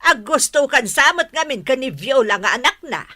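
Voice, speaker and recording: A woman's voice: high voice, expressive, normal speed, bright, forceful tone, no echo, clean studio sound, full character voice. A woman playing a proud, obstinate older mother who scolds and exclaims, in an Ilocano comedy radio drama. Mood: outraged scolding.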